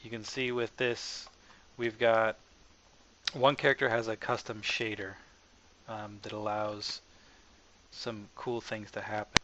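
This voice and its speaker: A man narrating a casual software tutorial as the developer, informal and hesitant, as if thinking aloud. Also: fairly low pitch, level tone, a little slow. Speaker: software developer presenting own asset package. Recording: dull lo-fi mic with faint background noise.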